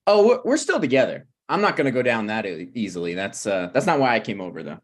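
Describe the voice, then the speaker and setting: A man's voice: mid-range voice, big pitch swings, fast, close to an everyday voice. A man playing a down-on-his-luck, evasive thirty-something friend in a naturalistic comedy table read. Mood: glum, evasive. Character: unshaved, down-on-his-luck 37-year-old male friend, evasive.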